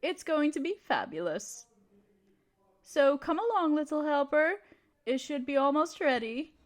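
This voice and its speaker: Evil queen for fantasy audio drama, female performer: high-pitched, haughty and conniving, grand theatrical delivery, talks down to everyone. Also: sing-song, a little slow. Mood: grandly pleased. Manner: imperious, theatrical, condescending. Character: scheming evil queen, haughty tyrant gloating to her advisor. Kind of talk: scripted roleplay audio drama monologue.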